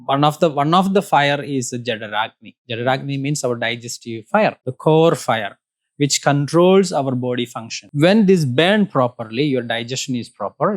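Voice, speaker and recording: A man's voice: medium pitch, no echo. A man from Kerala with a Malayali-accented Indian English, a native Malayalam speaker, patiently explaining Ayurveda with examples as an interview guest. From Kerala, South India.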